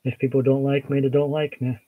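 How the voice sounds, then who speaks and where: A Scottish man hosting a casual livestream, chatting with viewers and answering their comments in a relaxed, meandering way. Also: medium pitch, fairly quick, narrow, tinny audio. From Scotland. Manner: chatty, relaxed, with hesitant restarts. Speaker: livestream host.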